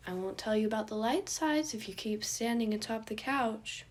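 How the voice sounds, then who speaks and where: A wry older male guardian played by a woman, composed and formal. The phrasing is measured and gently teasing, in the manner of a storybook character. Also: fairly high pitch, close to an everyday voice. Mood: firm.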